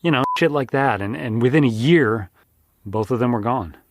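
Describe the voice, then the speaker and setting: A man's voice: mid-range voice, normal speed, background noise, natural voice. A man playing a loyal, embittered friend of an accused man, talking casually and plainly as if interviewed. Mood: wistful. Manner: casual, sweary, plain-spoken. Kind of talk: casual interview in an audio drama.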